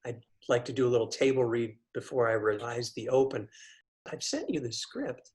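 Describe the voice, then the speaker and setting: A man's voice: mid-range voice, normal speed, natural voice. A man playing a pompous, thin-skinned professor in a web comedy, theatrical and stammering when challenged. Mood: flustered. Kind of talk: scripted comedy acting on a video call.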